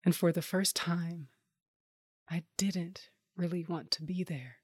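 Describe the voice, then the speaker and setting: A woman's voice: lowish voice, fairly even intonation, slow, slightly rough, no echo, studio quality. An actress playing Tasha, a tough, rage-driven woman cage fighter, narrating in the first person in an audio drama with a brooding, dramatic delivery. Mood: grim, hazy.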